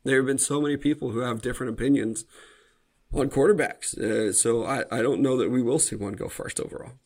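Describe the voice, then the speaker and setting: A man's voice: mid-range voice, fairly quick, vocal fry, darkish tone. A man co-hosting a podcast, chatting casually about football and hedging with fillers. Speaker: podcast co-host. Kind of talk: casual sports chat.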